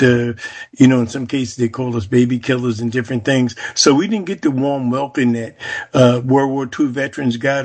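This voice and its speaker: An American man in his seventies, from New Jersey, shares personal history as an interviewee. His voice sits at a middle pitch. He speaks reflectively and haltingly, pausing often as he gathers his words. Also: a little mellow. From Jersey City, New Jersey, United States. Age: 70s. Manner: reflective, halting, with frequent pauses. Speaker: interviewee, Vietnam War veteran.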